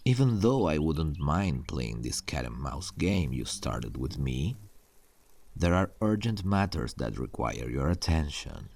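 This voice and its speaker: A menacing warlord king from a medieval roleplay drama, acted by a man. His voice is husky and full of gravel, swinging expressively as he talks down to his captive queen. He is possessive, deliberate and coldly threatening. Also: extremely low pitch, big pitch swings, darkish tone, no room sound, exaggerated voice. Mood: cold menace.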